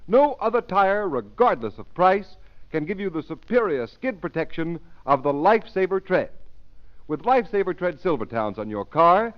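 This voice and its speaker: A man's voice: sits high, sing-song, moderate pace. A male radio announcer reading a scripted 1930s commercial with an emphatic sales delivery. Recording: muffled 1930s radio recording.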